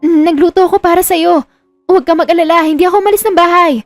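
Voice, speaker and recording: Lady doing a sweet nervous young wife, high voice, coaxing, romance audio drama w music behind. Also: fast, no room sound. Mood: nervous, eager.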